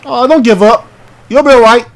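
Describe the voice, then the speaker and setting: A man's voice: very high-pitched, normal speed, low-quality recording. A man hosting a gaming channel, chatting and rambling casually as he reacts aloud to a game. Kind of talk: casual let's-play commentary.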